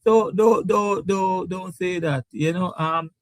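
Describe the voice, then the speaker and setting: A man with a Caribbean English accent hosting a sports debate show, animated and repeating his words. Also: high voice, slow pace, no room sound. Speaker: show host. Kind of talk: lively sports debate talk.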